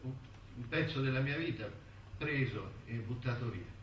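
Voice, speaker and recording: An actor playing a wistful, bitter elderly intellectual in a theatrical monologue, musing and halting as he reminisces. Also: medium pitch, noisy background, close to an everyday voice. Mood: bitter, resigned. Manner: halting, musing, with rhetorical tags and repetitions. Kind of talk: theatrical monologue in a radio-broadcast play. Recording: echoey stage recording, distant mic, music.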